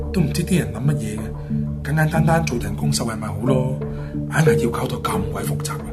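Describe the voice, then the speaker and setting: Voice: medium pitch, expressive, normal speed, over loud music, close to an everyday voice. A performer playing a brash, bossy, mocking Chinese-medicine doctor with a Hong Kong Cantonese accent, in comic radio drama. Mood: dismissive. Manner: scolding, blunt, colloquial Cantonese banter. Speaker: actor in an amateur radio play.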